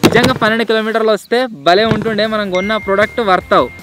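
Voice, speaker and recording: Male vlog host, Telangana Telugu accent, very quick informal delivery, high voice. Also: fairly even intonation, loud music behind the voice. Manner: informal, slangy, bantering. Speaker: video host. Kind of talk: casual vlog chat.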